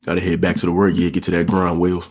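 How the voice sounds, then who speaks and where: A young man with a very deep, gravelly, husky voice that stays flat and monotone. He gives dating advice to his viewers in an easygoing, conversational way and keeps checking in with the listener as he talks. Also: fast talker. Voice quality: low, monotone, raspy voice. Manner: laid-back, peppered with "you feel me", talks with his hands. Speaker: channel host giving dating advice. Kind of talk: casual advice talk answering viewer questions.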